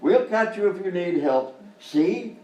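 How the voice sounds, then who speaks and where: A man's voice: high-pitched, animated, unhurried, a little mellow, muffled recording. A man playing a flustered, relentlessly cheerful uncle who hosts a children's show in a live radio comedy sketch, talking down soothingly. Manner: reassuring, singsong talk to children. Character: avuncular, flustered male kids' show host, relentlessly cheerful. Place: live performance in hotel venue. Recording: roomy live stage, distant mic, faint noise.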